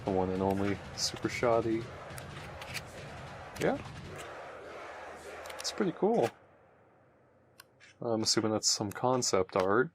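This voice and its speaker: A man's voice: on the low side, very animated, slow pace, pauses often, background noise. A man giving casual unboxing commentary as host, muttering to himself as he handles things. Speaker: host of a game unboxing video.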